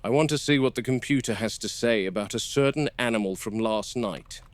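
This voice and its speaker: Man acting a wary game warden, mid pitch, plain spoken n measured, analog horror film. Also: dry recording, close to an everyday voice. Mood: probing. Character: wary, probing male game warden at a dinosaur park. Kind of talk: scripted found-footage horror film dialogue.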